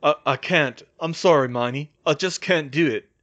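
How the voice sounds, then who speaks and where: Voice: mid-range voice, measured pace, dull audio, lightly acted. A performer playing a reluctant, distressed teenage boy who stammers earnestly. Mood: despairing.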